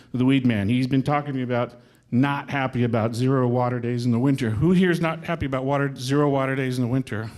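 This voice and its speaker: A man's voice: medium pitch, darkish tone. Guy at a town forum talking straight to the crowd, pretty casual. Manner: conversational, addresses the crowd directly. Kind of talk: speaking at a public forum.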